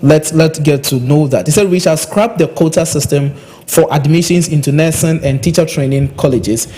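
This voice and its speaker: A man co-hosting a live broadcast, talking in a measured way with frequent hesitations. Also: a little high, mellow, slight background noise. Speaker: radio talk show co-host.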